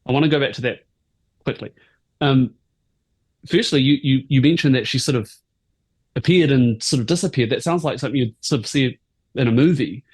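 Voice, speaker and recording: Husky-voiced male podcast host. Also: mid-range voice, a little fast, pauses often, darkish tone. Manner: casual, curious, conversational. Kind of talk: interview questions.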